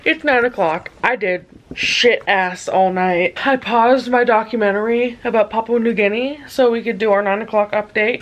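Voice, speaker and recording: Twenties woman vlogging from bed, mid pitch, mellow musing, keeps laughing a bit. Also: a little hiss or hum. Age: 20s. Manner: low-key musing, frequent soft laughs. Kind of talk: casual confessional chat. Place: lying in bed at home.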